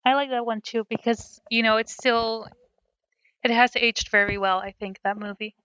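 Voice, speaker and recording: A woman from the Netherlands with a Dutch accent, co-hosting a casual podcast and often trailing off quietly. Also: fairly high pitch, steady tone, normal speed, smooth, no room sound, dull audio. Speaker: podcast co-host. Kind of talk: casual chat.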